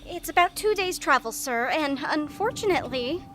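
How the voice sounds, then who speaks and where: A woman's voice: sits high, expressive, moderate pace, fairly bright tone. A woman playing a polite, perky sheep-like royal aide in an audio drama, sweet and hesitant. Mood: dutiful.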